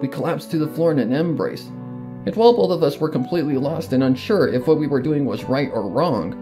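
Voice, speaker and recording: Higher-voiced man telling a dramatic story over music. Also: expressive, a little fast. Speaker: fan fiction narrator. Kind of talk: dramatic first-person story reading. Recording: music under the voice.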